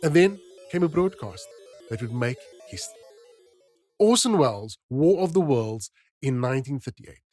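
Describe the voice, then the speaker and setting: A man's voice: medium pitch, animated, moderate pace, a little mellow, no echo, faint music behind. A man hosting a podcast, reading scripted narration in a dramatic storyteller's manner. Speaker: podcast host.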